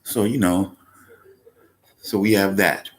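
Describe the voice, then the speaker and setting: A man hosting a live podcast, talking casually about actors and films in a rambling way full of fillers and restarts, getting louder when he makes a point. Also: low voice, steady tone, slow pace. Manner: speaks up when emphatic. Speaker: podcast host. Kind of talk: casual live-stream talk.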